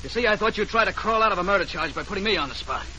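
A sly, threatening suspect in a vintage radio drama. He talks fast in a high, very piercing voice, indignant and sneering. The recording carries slight noise. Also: lively intonation, sharp tone, full character voice. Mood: sly, defiant. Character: defiant, sly, threatening male office assistant suspect. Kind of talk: 1940s radio drama acting. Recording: slightly noisy late-1940s radio recording.

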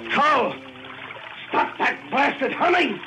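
A man's voice: extremely high pitch, expressive, slow pace, full character voice. An actor playing a harsh, commanding male chief mate in a 1940s radio drama. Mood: gruff. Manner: gruff, commanding. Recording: noisy dull 1940s radio broadcast.

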